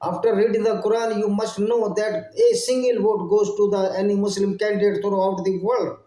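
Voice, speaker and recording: A man with an Indian English accent and a fairly high but mellow voice delivers a fervent monologue straight to his viewers. His English is halting, and he restarts and repeats phrases as he pushes on. The sound carries a roomy echo. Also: flat delivery. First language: Hindi. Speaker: channel host. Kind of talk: impassioned solo monologue to viewers.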